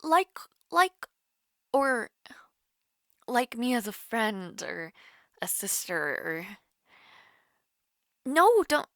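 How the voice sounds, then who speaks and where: A woman playing a nervous, smitten young woman in an intimate romantic audio roleplay, halting and flustered. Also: on the high side, very animated, fairly bright tone, no echo, studio quality, heavily acted. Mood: flustered, hesitant. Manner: halting, flustered, breathy sighs and whispers.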